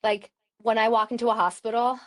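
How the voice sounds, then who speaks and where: A woman's voice: on the high side, flat delivery, fairly quick, fairly bright tone. A woman in her thirties from Turkey, a podcast guest telling stories casually, with lots of "like" fillers and frequent laughs. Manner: chatty, many "like" fillers, laughs often. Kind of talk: casual conversational storytelling.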